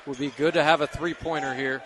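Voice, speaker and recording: A man's voice: on the high side, steady tone, fairly quick. A man working as a color commentator on a live basketball radio broadcast, explaining the game conversationally. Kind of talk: live radio sports analysis.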